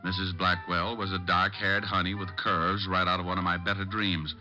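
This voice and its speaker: An actor playing a breezy, wisecracking American private detective who narrates in wry, slangy 1940s radio style. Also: male voice, sits low, flat delivery, moderate pace, music in the background, natural voice. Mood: wry narrating.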